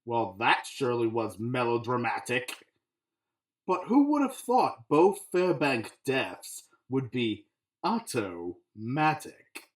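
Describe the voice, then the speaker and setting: A man's voice: medium pitch, very animated, slow pace, poor audio. A man narrating a scripted radio drama in a theatrical, tongue-in-cheek manner.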